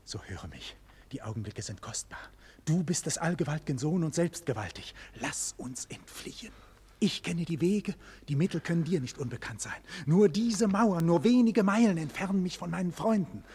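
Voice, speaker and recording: An actor playing a dignified condemned nobleman in a 1940s German radio play, declaiming solemnly with breathy, husky murmurs and loud cries. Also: a little high, animated, normal speed, full character voice. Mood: urgent, pleading. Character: doomed nobleman facing execution, dignified, passionate, grave. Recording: vintage 1940s radio studio recording.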